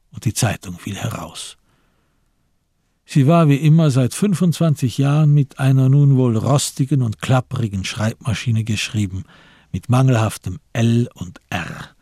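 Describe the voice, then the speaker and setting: A man giving a deliberate, solemn scripted literary narration for a radio drama. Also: medium pitch, a little mellow, breathy edge, no room sound, clean studio sound.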